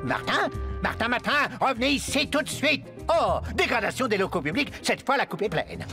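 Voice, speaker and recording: High-voiced, fussy cartoon schemer. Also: male voice, very animated, normal speed, bright, forceful tone, soft background music, full character voice. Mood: indignant. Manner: pompous, fussy. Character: officious, scheming, indignant male school staff member. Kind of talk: French cartoon dubbing.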